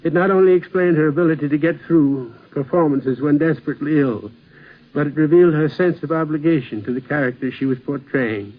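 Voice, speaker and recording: A man with a fairly high voice reads a scripted reminiscence. His phrasing is formal and measured, and the old radio recording sounds dull. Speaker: theatre producer giving a reminiscence.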